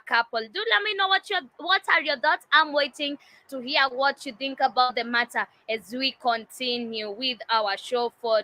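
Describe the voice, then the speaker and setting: A woman hosting a news show, reading and commenting on stories with many fillers and restarts, her voice getting raspy. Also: high-pitched, bright, forceful tone. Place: indoors while it rains outside.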